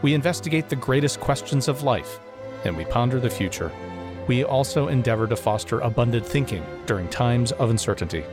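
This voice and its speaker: Formal podcast host, music under him. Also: male voice, mid-range voice, animated. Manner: measured, formal announcer delivery. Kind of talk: scripted show intro and interview questions.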